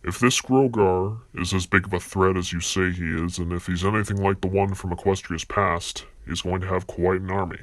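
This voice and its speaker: A man voicing a gruff, blunt, sardonic card duelist with a menacing edge for an amateur anime-style series. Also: low-pitched, steady tone, normal speed, hardly any pauses, no echo, close to an everyday voice. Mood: serious, grim.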